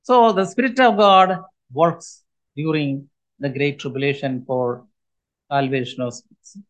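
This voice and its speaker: A man in his seventies preaching a sermon, his voice sitting high for a man. He speaks earnestly and with emphasis, pausing often between phrases. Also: fairly bright tone. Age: 70s.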